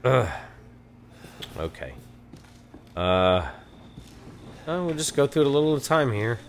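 A hoarse man with a Texan accent, chatting nervously and muttering as he plays a horror game, swearing at the scares. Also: mid-range voice, big pitch swings, unhurried, slight background noise. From Texas. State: hoarse from allergies. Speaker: let's play gamer.

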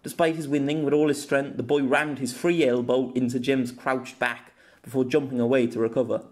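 A man's voice: medium pitch, fairly even intonation, a little fast, darkish tone. A man reading a scripted fantasy story aloud as an audiobook narrator.

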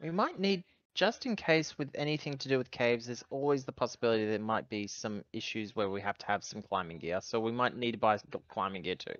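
A man's voice: medium pitch, lively intonation, fairly quick, hardly any pauses, fairly bright tone, dry recording, dull audio. A man playing a tabletop roleplaying game, talking casually with the group over a voice call.

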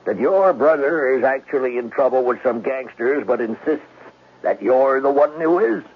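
An actor in a 1970s radio drama playing a dogged police detective with a gravelly, husky voice and a blunt, probing manner. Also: male voice, mid-range voice, expressive, normal speed. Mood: skeptical. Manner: blunt, probing, hesitant starts. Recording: thin, phone-like vintage radio recording.

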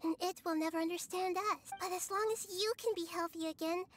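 A woman voicing a small childlike nature goddess, calm and wise, speaking softly and earnestly in a game. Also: very high voice. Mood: gentle. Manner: soft, measured, earnest.

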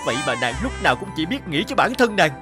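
A man's voice: high voice, big pitch swings, fast, loud music behind the voice. A man playing a proud, frustrated young sculptor husband in a folktale cartoon, speaking earnestly and dramatically. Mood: indignant, bitter.

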